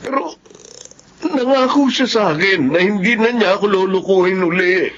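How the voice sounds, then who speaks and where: A trusting, betrayed Filipino husband in a radio drama. His high, animated voice sounds incredulous and pleading through slightly dull audio. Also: normal speed. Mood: shocked, pleading. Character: trusting, betrayed husband and younger brother, agitated.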